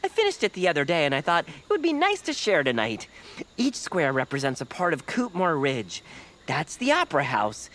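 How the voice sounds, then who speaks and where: A woman's voice: low voice, wildly swooping pitch, moderate pace, fairly bright tone, exaggerated voice. A woman playing an earnest, nervous optimist in an audio fiction drama, speaking in a hesitant, sincere way. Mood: hopeful.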